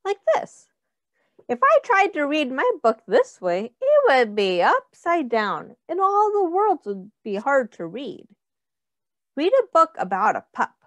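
A middle-aged woman reads a picture book to small children in a high, very animated voice. She goes slowly, in simple phrases, pausing to put questions to her listeners. The sound is close and dry. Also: dry recording. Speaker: early childhood teacher reading aloud.

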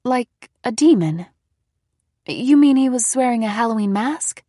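A woman's voice: on the high side, lively intonation, unhurried, dark tone, no echo, clean studio sound. A woman voicing a worried, skeptical and bossy middle-aged mother in an audiobook story. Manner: questioning, insistent, scolding.

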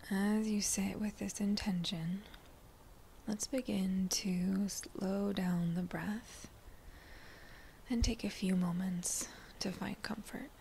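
A woman leading a guided meditation in a gentle, breathy near-whisper, speaking in short unhurried phrases with pauses between them. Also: mid-range voice, steady tone, a little hiss or hum. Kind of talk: slow guided meditation narration.

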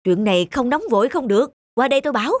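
A man's voice: very high voice, lively intonation, rapid-fire. An actor voicing a stingy, hot-tempered old village man in a cartoon, grumbling and indignant. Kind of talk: animated moral tale voice acting. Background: background music.

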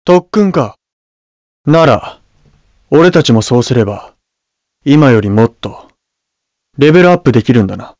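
An actor voicing a proud, cool young surgeon who is an armored hero in a video game, speaking in curt, haughty, solemn declarations. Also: male voice, medium pitch, expressive, normal speed, long pauses, darkish tone, dry recording, highs cut off, close to an everyday voice. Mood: composed, determined. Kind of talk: video game battle voice lines.

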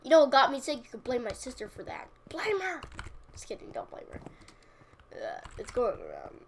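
A woman's voice: high-pitched, wildly swooping pitch, normal speed, gravelly. A young woman with a sniffly cold, chatting casually and joking at herself while playing a game. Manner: chatty, self-mocking, playful outbursts. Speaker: gaming channel host. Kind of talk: casual gameplay commentary. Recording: audible background noise and keyboard clicks.

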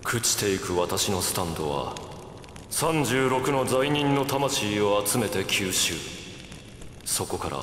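Grandiose immortal villain, echoing. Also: male voice, fairly low pitch, expressive, slow pace, a bit bright, slight background noise. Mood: solemn, grand. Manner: theatrical, grandiose. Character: gloating, megalomaniac male villain, evil immortal emperor.

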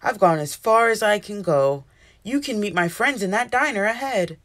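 A woman's voice: medium pitch, expressive, moderate pace, lightly acted. Woman as an obliging, lilting wind cloud. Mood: apologetic.

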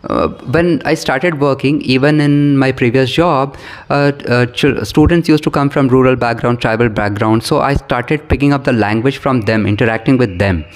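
A man's voice: medium pitch, moderate pace. A man in his thirties with an Indian English accent, a Bhojpuri native speaker from Bihar, answering interview questions as an English professor, thoughtful, with many fillers and restarts. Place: small indoor room, seated on a sofa. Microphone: camera across the room.

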